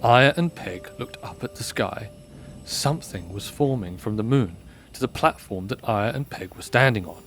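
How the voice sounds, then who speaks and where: A man's voice: lowish voice, lively intonation, moderate pace, a bit bright, faint music behind. A man reading scripted story narration as the narrator of an audio drama.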